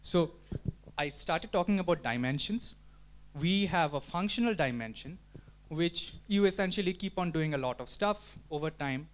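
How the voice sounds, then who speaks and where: A man's voice: on the high side, animated, normal speed. A man giving an explanatory talk at a community meetup, thinking aloud with frequent restarts and filler words. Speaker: community presenter. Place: meetup room.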